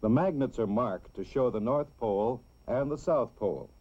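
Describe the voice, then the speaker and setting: Casting: male narrator for a children's instructional film, measured and explanatory, dull vintage soundtrack sound. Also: mid-range voice, expressive, warm tone. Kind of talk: scripted educational film narration.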